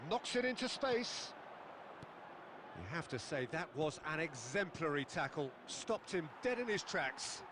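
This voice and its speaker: Guy calling a football match, high voice, steady then jumping up on chances, noisy capture. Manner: measured, rises sharply on chances. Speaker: football play-by-play commentator. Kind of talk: scripted match commentary.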